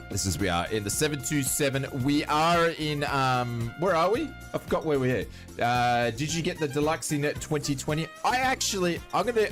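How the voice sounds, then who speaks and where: Aussie guy streaming flight sim, nasal from hay fever, rambly chat, faint music behind. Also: medium pitch. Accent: Australian. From Australia. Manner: rambling, chatty, answers chat. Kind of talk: casual livestream chat.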